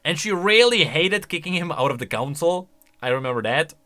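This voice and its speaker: A man's voice: fairly high pitch, animated, a little fast, sharp tone. A man giving casual, chatty commentary on video game lore, thinking aloud with emphatic delivery.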